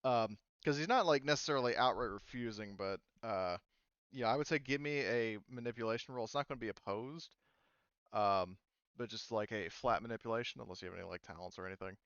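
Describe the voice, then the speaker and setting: Casting: male game master, halting and filler-heavy, smooth resonant tone, dull lo-fi sound. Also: fairly low pitch, fairly bright tone, no echo. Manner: hesitant, lots of ums and restarts. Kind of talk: running a tabletop roleplaying game.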